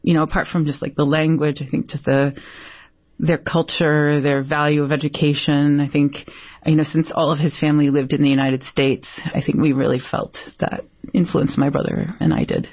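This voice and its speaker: A Brazilian-born woman poet answering interview questions thoughtfully, with many pauses and fillers. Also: low-pitched, little pitch movement, dry recording. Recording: dull, dry lo-fi sound.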